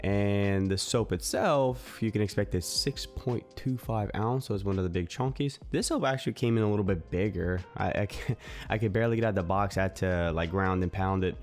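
A man's voice: lowish voice, a little fast, faint music behind. A young man in casual talk to camera, reviewing a soap in an easygoing, informal way with lots of fillers, recorded in a small room on a desk mic. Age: young adult. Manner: chatty, informal, many fillers and asides. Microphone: desk microphone in front.

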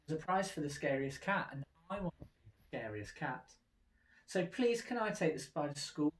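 A man voicing an eager, excitable young male cat who pleads and exclaims in a storybook reading. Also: fairly high pitch, moderate pace, slight background noise, voice pushed up. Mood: eager, pleading. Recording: roomy indoor echo.

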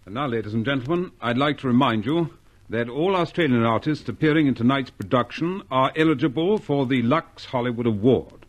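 A man's voice: mid-range voice, fairly quick, dull audio. A man hosting a 1950s radio show with formal, courteous announcing and scripted interview talk.

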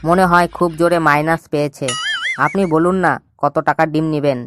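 Sly cartoon jackal thief, man acting, bossy schemer, smooth talker with little pitch movement, faint noise. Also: fairly even intonation, a little fast, close to an everyday voice. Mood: sly sales patter.

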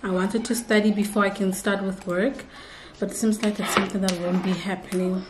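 A woman's voice: medium pitch, slight background noise. A young woman, chatting to the camera at home and telling a story. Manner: chatty storytelling, switching into Setswana phrases. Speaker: vlogger. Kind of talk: casual chat to camera. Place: home kitchen and living room indoors. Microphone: camera on tripod nearby.

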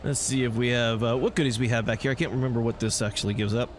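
A man's voice: medium pitch, steady tone, quick pace, slight background noise. A man giving casual let's play commentary, chatting away with joking asides to the game's characters. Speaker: gameplay host. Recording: game music behind the voice. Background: video game music and action sounds.

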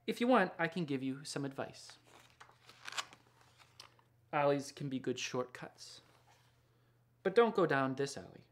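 A man in his thirties reading a picture book aloud in a gentle, unhurried and sometimes hushed way. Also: on the high side, animated. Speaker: children's picture book author and illustrator. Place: small indoor studio lined with books and records.

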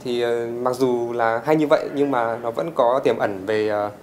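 A man in his twenties answering informally in an interview, halting as he goes. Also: medium pitch, steady tone, a little mellow, slight background noise. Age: 20s. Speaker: tech enthusiast interviewee.

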